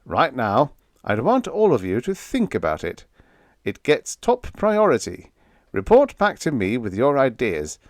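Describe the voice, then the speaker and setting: Rocket company chief, played by a male reader: persuasive, rallying, lively pitch movement, sci-fi audiobook. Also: measured pace, no room sound, clean studio sound. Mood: confident, rallying. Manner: explanatory, rallying speechmaking. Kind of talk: audiobook character dialogue.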